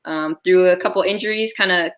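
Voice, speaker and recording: A woman's voice: fairly low pitch, brisk, fairly bright tone. A young Canadian woman co-hosting in a casual conversational style. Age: young adult. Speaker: co-host, former basketball player. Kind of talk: casual self-introduction.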